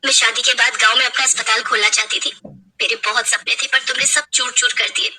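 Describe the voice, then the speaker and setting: A woman's voice: a little high, fairly even intonation, brisk, bright, forceful tone, slightly rough, heavily acted. A woman playing a defiant, pleading young kidnapped bride in a dramatic story. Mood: resolute.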